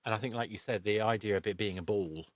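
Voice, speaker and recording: A man's voice: lowish voice, quick pace, dry recording. A man hosting a podcast, chatting casually and often repeating words as he thinks. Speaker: podcast host. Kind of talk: casual interview chat.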